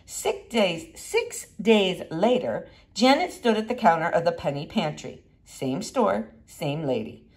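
Female reader for children's fiction: expressive, lively intonation, clear narrative emphasis. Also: on the low side, a little slow, few pauses. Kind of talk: read-aloud children's storybook narration.

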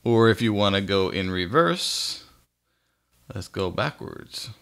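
A man with a fairly low voice and a bright, forward tone. He talks like an informal tutorial host, walking listeners step by step through software in a casual, folksy manner. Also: lively intonation, slow pace, slight background noise.

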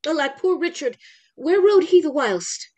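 A woman's voice: high voice, lively intonation, unhurried, warm tone, highs cut off. A woman playing an anxious duchess, eagerly asking for news in verse on a video call. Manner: eager questioning. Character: anxious duchess, older noblewoman. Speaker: actor in a staged reading. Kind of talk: Shakespeare verse reading. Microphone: webcam on a video call.

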